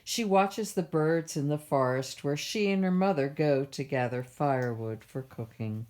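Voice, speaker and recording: A woman reads a picture book aloud for children at a library storytime. Her voice sits low, and she takes her time with each phrase. The delivery is measured and expressive, in the style of a storyteller.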